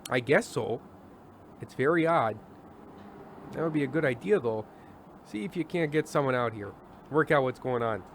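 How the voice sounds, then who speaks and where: A man playing a world-weary, fretful television host with halting delivery in an old-time radio drama. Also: mid-range voice, lively intonation, slight background noise, lightly acted. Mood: puzzled, subdued. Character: anxious, world-weary male TV show host.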